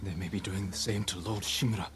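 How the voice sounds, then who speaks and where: Guy playing young samurai hero in a game, lowish voice, earnest n resolute, music under it. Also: fairly even intonation, fast, lightly acted. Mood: measured, questioning. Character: earnest, determined young samurai lord's heir. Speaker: actor in a samurai video game. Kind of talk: dramatic cinematic game dialogue.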